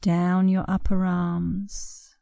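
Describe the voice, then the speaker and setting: A woman meditation instructor guiding a relaxation session in a soothing, unhurried way, leaving long pauses between short phrases. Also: fairly low pitch, little pitch movement, gravelly, warm tone, no echo, studio quality. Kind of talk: guided meditation, scripted narration.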